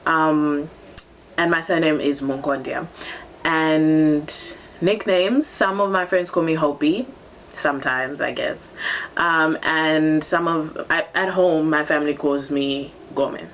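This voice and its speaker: A woman's voice: low voice, takes it slowly, fairly bright tone, a little hiss or hum. A seventeen-year-old girl, originally from Zambia and raised in Cape Town, chatting casually in a get-to-know-me vlog, bubbly and full of ums. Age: teens. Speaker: vlogger.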